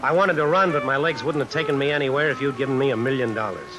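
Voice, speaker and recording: A man's voice: medium pitch, quick pace, natural voice. Actor as a rattled American tough guy, noisy old radio. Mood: uneasy narration. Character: brawling tough drifter, rattled and boastful narrator. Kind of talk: 1940s American radio suspense acting. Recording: old radio recording under rain noise.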